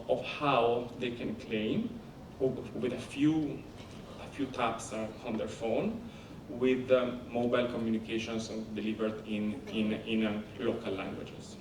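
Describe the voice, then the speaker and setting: An Italian-accented man answers on a conference panel, stopping and restarting often with plenty of fillers. His voice sits at a middling pitch and is picked up from a distance in an echoey hall. A steady low rumble runs underneath. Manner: halting, many fillers and restarts. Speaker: panelist, company founder.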